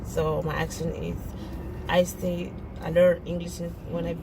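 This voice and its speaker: A woman with a French accent, French as her first language, from French-speaking Africa, making an earnest, pleading appeal to camera, halting and restarting her sentences. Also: on the low side, little pitch movement, vocal fry, noisy background. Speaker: vlogger. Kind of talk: casual direct-to-camera appeal.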